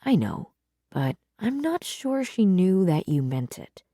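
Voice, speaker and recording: A woman voicing a calm, gently firm father in a children's storybook, measured and mildly admonishing. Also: mid-range voice, no echo, studio quality. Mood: gently prompting. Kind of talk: children's storybook read-aloud.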